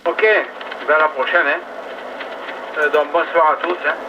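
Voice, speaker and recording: Male radio amateur, joking and chatty, slightly high pitch, heavy static over the relay. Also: level tone, moderate pace. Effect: two-way radio transmission. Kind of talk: casual radio chat with sign-offs. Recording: dull, noisy ham radio relay, distant.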